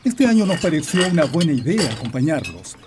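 A man's voice: fairly high pitch, very animated, fairly quick. A man playing a firm, reassuring father and railway worker in a radio drama. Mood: brisk, reassuring. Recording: noisy, clinking dishes and clicks.

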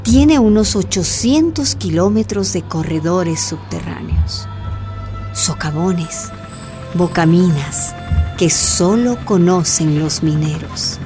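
A woman's voice: lowish voice, animated, takes it slowly. A woman giving scripted dramatic narration for a radio drama. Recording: music bed under voice.

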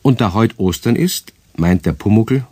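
A man's voice: lowish voice, lively intonation, moderate pace, warm tone, slightly rough, dry recording. A man narrating a children's radio play as a scripted storyteller. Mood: storytelling.

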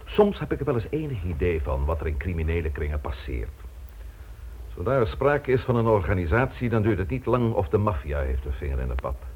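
Casting: senior police commissioner, low husky voice, formal, dry, unhurried; thin narrow-band radio drama sound. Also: male voice, big pitch swings, exaggerated voice. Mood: dryly knowing. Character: chief police commissioner, unhurried, dryly ironic superior. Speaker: actor in a radio play. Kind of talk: Dutch radio drama acting. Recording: muffled narrow-band old radio recording.

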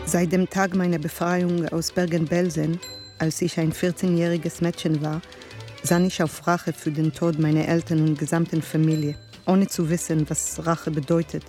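A woman's voice: low-pitched, little pitch movement, moderate pace, warm tone, soft background music, lightly acted. An actress playing a sombre woman survivor giving grave, restrained testimony in a radio drama. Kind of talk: scripted radio drama monologue.

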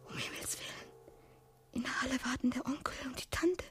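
A woman playing a shy, anxious young niece in a radio drama, hushed and hesitant, as if thinking aloud. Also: high-pitched, fairly quick, soft background music. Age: young adult. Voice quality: breathy, whispery. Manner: agitated, hesitant. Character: shy, anxious poor young niece, overwhelmed by a grand hotel.

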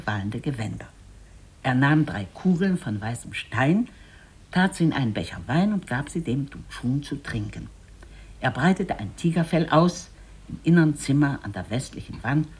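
A storyteller narrating a literary fairy tale in a deliberate, dramatic and expressive way. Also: mid-range voice, husky.

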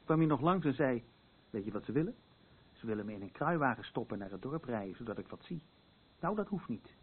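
An actor in a radio play, playing a concerned, exasperated confidant who questions pointedly and protectively, repeating himself. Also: male voice, medium pitch, sing-song, fast talker, slight background noise, natural voice. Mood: reminiscing. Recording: muffled, phone-like narrow band.

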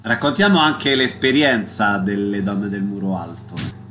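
A man's voice: lowish voice, expressive, a little slow, fairly bright tone, a little hiss or hum. A man hosting a radio interview, giving concise prompts and formal announcements.

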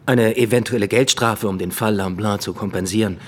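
A man's voice: on the low side, steady tone, a little fast, long reverb tail, slight background noise, natural voice. A man playing a formal, hesitant company negotiator on the defensive in a radio drama. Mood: defensive, guarded. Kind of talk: German radio drama acting.

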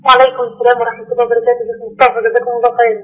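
Very fast Egyptian woman caller. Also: on the high side, completely flat delivery. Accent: Egyptian Arabic. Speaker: caller asking religious advice. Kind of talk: spontaneous colloquial phone question. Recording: dull, muffled call audio.

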